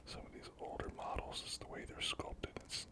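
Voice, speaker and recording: Whispering ASMR hobby host. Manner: unhurried, murmured thinking aloud. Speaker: hobby painting channel host. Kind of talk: whispered ASMR miniature painting commentary.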